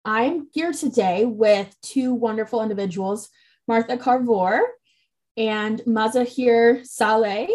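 A woman's voice: on the high side, slow pace, a little mellow. A woman hosting a public health podcast, speaking in a casual way with a few fillers. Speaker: podcast host.

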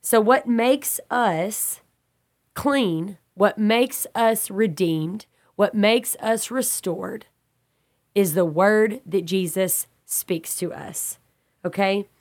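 Woman teaching a bible study, stuffy and a bit raspy from congestion, earnest preachy delivery, keeps restating things. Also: medium pitch, drawn-out words, darkish tone. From Texas.

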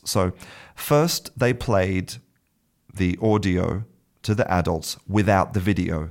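A man teaching an English lesson, explaining things in a measured way and often repeating words as he searches for the next one. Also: low-pitched.

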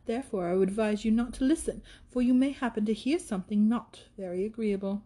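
A woman's voice: fairly high pitch, quick pace, dark tone, natural voice. A woman voicing a gushing, flighty young woman in a period storybook, coquettish, exclamatory and affected as she scolds. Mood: teasing.

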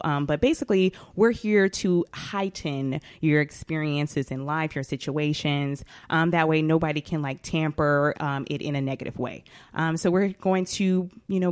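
A woman's voice: no room sound, studio quality. A woman in her twenties from Atlanta, hosting a podcast in a chatty, casual way with lots of fillers. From Atlanta, Georgia, US. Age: 20s. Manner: chatty, many fillers, lively pitch swings. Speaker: podcast host.

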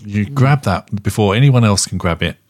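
A man's voice: fairly low pitch, expressive, normal speed, a little mellow. A man hosting a podcast, chatting casually about films in an informal, matey way. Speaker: podcast host. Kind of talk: casual film chat.

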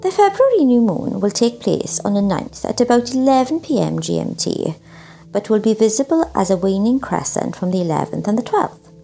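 A woman's voice: medium pitch, very animated, normal speed, gravelly, mellow, faint music behind. A woman presenting a literary showcase, introducing pieces and reading her own reflective writing in a scripted style.

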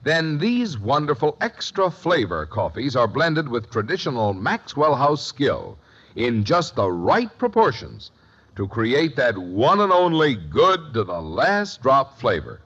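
Very animated male vintage radio announcer. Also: mid-range voice, a little slow, breathy edge. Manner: emphatic, polished sales delivery. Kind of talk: vintage scripted radio advertising. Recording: dull vintage radio recording.

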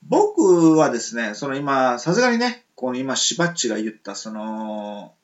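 A man's voice: mid-range voice, big pitch swings, moderate pace, muffled recording. A man with a Kansai accent co-hosting a casual radio chat, rambling with many hesitant fillers. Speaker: podcast co-host.

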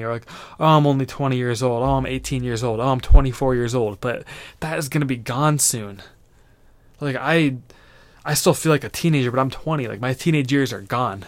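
A man's voice: medium pitch, no room sound. A man in his twenties hosting a solo podcast, giving earnest motivational advice in a loose, rambling way with lots of fillers. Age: 20s. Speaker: podcast host.

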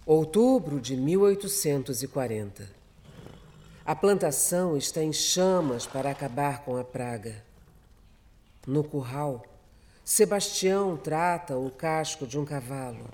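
Slow, deliberate male radio drama narrator. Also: fairly high pitch, lively intonation, darkish tone, a little hiss or hum.

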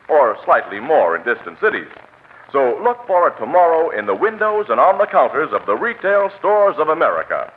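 A man's voice: a little high, a little hiss or hum. A man announcing scripted 1940s radio ads and credits in a polished, emphatic manner. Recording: tinny old radio broadcast, music behind.

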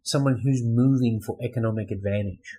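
A man talking in a casual, relaxed way as a guest on a podcast debate. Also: mid-range voice, fairly quick, slightly rough.

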